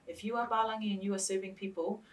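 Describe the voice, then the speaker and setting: A woman's voice: medium pitch, fairly even intonation, fast talker, recorded from a distance, rough recording. A woman speaking as a studio guest, emphatic and punchy, full of rhetorical questions and repetition. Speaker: guest, local election candidate. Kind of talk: passionate studio discussion.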